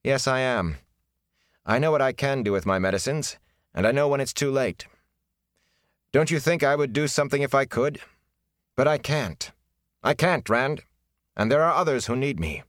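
A man's voice: mid-range voice, animated, moderate pace, pauses often, a bit bright, dry recording, studio quality, voice pushed up, close to an everyday voice. A man voicing a weary village healer woman who speaks firmly and without emotion. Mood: firm, resigned. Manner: emotionless and firm.

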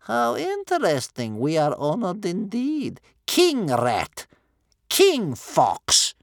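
Man voicing a bitter, high-pitched old grandmother. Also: sing-song, a bit bright, no echo, clean studio sound, full character voice. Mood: sarcastic. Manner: spitting, slow, drawn-out delivery. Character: bitter, sceptical old grandmother, stern and sarcastic.

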